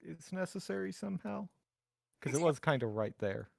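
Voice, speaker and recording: A man with a gravelly, creaky voice chats casually as a player at a tabletop game. His pitch is mid-range but swings widely. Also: very animated, moderate pace, a little mellow, slight background noise.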